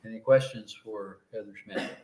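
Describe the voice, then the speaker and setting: A man chairing a committee hearing in a brisk, procedural way. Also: fairly low pitch, darkish tone, echoey room, slight background noise.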